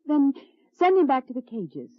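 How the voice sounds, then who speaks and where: Lady scientist, lively pitch, dry close sound, muffled old radio. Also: normal speed, no echo. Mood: pleading. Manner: crisp, clinical lecturing; pleading when upset. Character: female scientist, clinical turned tender. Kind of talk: 1950s radio drama acting.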